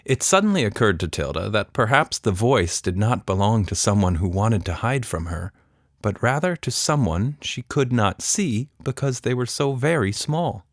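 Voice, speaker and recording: A man's voice: lowish voice, big pitch swings, normal speed, no room sound, clean studio sound. A man narrating a scripted children's story as a storyteller.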